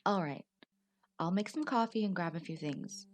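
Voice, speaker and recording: A woman acting in a radio play as a concerned, steady federal agent, questioning and reassuring. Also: on the low side, normal speed, a little hiss or hum, close to an everyday voice. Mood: businesslike.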